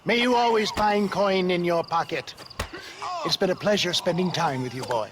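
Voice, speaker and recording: A man's voice: high voice, moderate pace, natural voice. An actor playing a theatrical western con man with flowery, declaiming speech in a video game. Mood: wry. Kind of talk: video game character acting. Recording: clear background noise.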